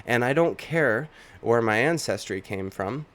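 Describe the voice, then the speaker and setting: A man's voice: mid-range voice, expressive, a little slow, a bit bright. Indignant man ranting. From Canada. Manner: indignant, ranting, repeats himself. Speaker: MMA commentary YouTuber. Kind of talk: opinionated reaction commentary.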